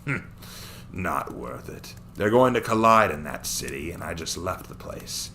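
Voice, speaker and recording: A man acts out an arrogant alien warrior villain from an action story. The voice sits low and sneers with contempt, stretching words into slow mutters. A faint noise runs behind it. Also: very animated, pushed and bright, heavily acted. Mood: intrigued, menacing.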